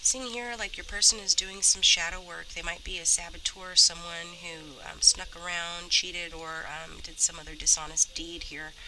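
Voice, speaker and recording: A woman with a stuffy, slightly rough voice from a cold, chatting casually as she gives a tarot reading. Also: lowish voice, fairly even intonation, normal speed, few pauses, crowd noise, poor audio. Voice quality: a little rough. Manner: chatty, many fillers and restarts. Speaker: tarot reader.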